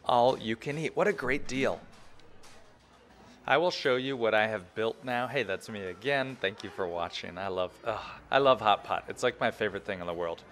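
A man's voice: lowish voice, moderate pace, fairly bright tone, soft background music. An enthusiastic, chatty man in his thirties or forties, giving a casual guided food review as a vlogger.

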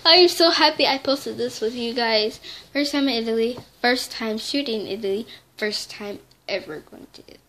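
A woman's voice: fairly high pitch, normal speed, a bit bright, slight background noise. A young teen girl chatting casually to her camera in a small dim bedroom, rambling and correcting herself as she goes. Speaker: vlogger. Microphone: device camera held close.

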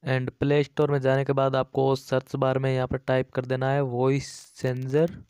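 A man's voice: medium pitch, monotone, moderate pace, a little mellow, clear, resonant tone, dry recording. A man giving a casual, repetitive step-by-step app tutorial as a voice-over, addressing viewers as friends. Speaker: tech tutorial YouTube host.

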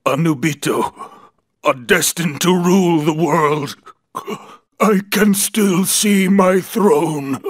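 A man's voice: a little high, slow pace, no echo, studio quality. A man voicing a haughty, jackal-headed warrior villain in a video game, taunting and theatrical. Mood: furious, defiant.

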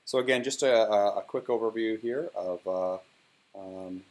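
A man presenting a casual software walkthrough, speaking in a hesitant, halting way. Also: fairly low pitch. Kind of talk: casual screen-recorded walkthrough.

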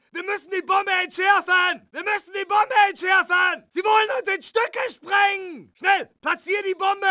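An actor playing an urgent German Second World War infantry soldier in a video game, barking short battle callouts and warnings. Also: male voice, extremely high pitch, bright, forceful tone, dry recording, clean studio sound, full character voice.

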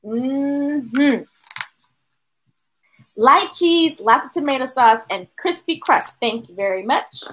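Slow, animated woman reading a storybook. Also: high-pitched. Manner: expressive, drawn-out delivery addressing young listeners. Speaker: storytime host reading a picture book aloud. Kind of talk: read-aloud storytelling with chatty asides to children. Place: small indoor room with bookshelves.

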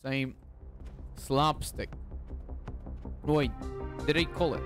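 A man's voice: mid-range voice, drawn-out words, over music. A young man from Bulgaria, chatting casually as a streamer while playing, often repeating words and asking himself confused questions. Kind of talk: casual gameplay commentary.

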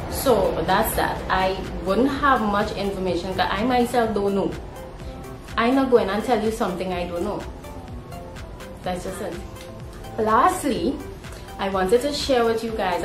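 A young woman with a Trinidadian accent, chatting informally to camera as a vlogger. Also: medium pitch. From Trinidad, born in Guyana. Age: young adult. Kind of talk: casual talking-to-camera vlog. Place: indoor room at home. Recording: roomy echo, music behind. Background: background music.

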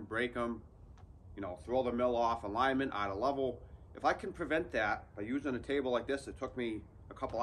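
A man's voice: medium pitch, fairly bright tone, slight background noise. A man in his thirties, a channel host, talking viewers through a practical build outdoors in a casual, direct way. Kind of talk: casual how-to vlog talk. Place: outdoors under an open sawmill shed in woods.

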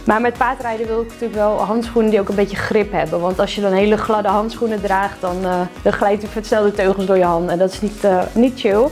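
A woman's voice: medium pitch, fairly even intonation, brisk, few pauses, darkish tone, soft background music. A young woman in her twenties chatting casually and upbeat at home, showing off winter riding clothes, with plenty of filler words. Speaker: equestrian shop presenter. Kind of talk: casual product lookbook talk. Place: indoors at home with plants behind.

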